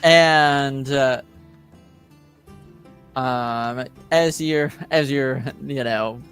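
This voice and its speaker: A game master narrating a tabletop fantasy game in a halting way, with pauses between phrases. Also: mid-range voice, clean tone. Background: soft background music.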